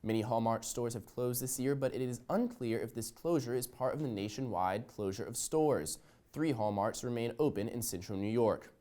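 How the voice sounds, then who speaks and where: A young man anchoring a student newscast, reading the stories in a steady, even manner. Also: mid-range voice. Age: young adult. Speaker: news anchor. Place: student TV studio.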